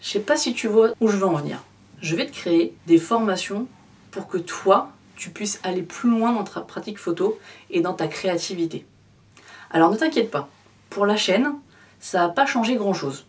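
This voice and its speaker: A woman's voice: medium pitch, expressive, moderate pace, distant mic. A woman in her thirties, a photography vlogger, talking casually and directly to her viewers with lots of fillers. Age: 30s. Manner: chatty, addresses the viewer informally with many fillers. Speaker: photography YouTuber. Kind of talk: casual direct-to-camera vlog.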